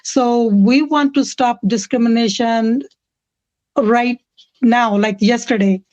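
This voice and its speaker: A woman's voice: on the high side, steady tone, slow pace, darkish tone, no echo. A middle-aged or older woman from India with an Indian accent, giving impassioned personal testimony as an interview guest, on lo-fi audio. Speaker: interview guest, community activist.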